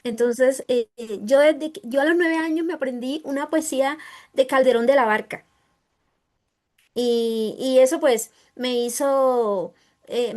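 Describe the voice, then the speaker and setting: A woman writer with a Colombian Caribbean coast accent, answering interview questions haltingly as she searches for words. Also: on the high side, darkish tone. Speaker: guest writer.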